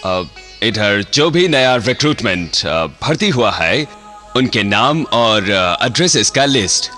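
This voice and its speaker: Radio drama: deferential British police commissioner. Slow, forceful, formal, British-accented Hindi. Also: male voice, on the low side, big pitch swings, heavily acted. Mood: hesitant, deferential. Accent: British-style accented Hindi, put on. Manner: halting, formal, mixes in English words. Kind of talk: radio play acting. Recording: music behind the voice.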